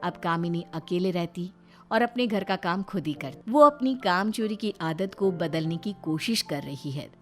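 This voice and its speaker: A woman's voice: on the low side, animated, normal speed, soft background music. A woman storyteller reading a scripted family tale as narrator.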